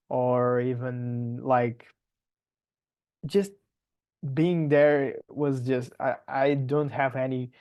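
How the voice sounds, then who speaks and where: A young man from Portugal with a Portuguese accent, giving conversational interview answers in a halting way, searching for words and repeating phrases. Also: medium pitch, a little mellow. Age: young adult. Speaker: podcast guest, polar science PhD student.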